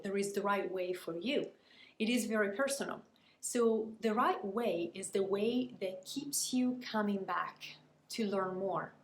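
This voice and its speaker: Italian woman coaching in an echoey room. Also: mid-range voice. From Italy. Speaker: language coach hosting a Q and A. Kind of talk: prepared educational talk to viewers. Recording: echoey room with faint noise.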